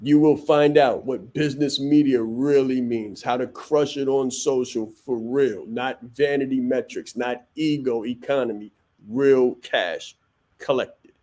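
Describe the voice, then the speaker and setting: A man's voice: medium pitch, fairly even intonation, measured pace, warm tone. A man hosting a business podcast, giving a blunt, preachy motivational monologue full of punchy repetition. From Vietnam. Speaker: podcast host and business coach.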